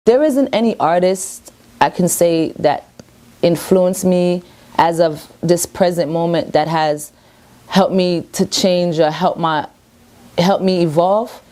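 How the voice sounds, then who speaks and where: Low, mellow-voiced New woman. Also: takes it slowly, faint music behind. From Brooklyn, New York. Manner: earnest, self-assured, loose and rambling. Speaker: reggae artist. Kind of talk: casual one-on-one interview. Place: her home.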